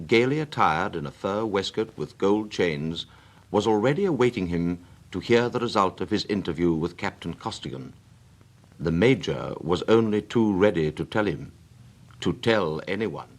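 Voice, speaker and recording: A man's voice: on the low side, sing-song, normal speed. A man reading scripted literary narration for a radio drama.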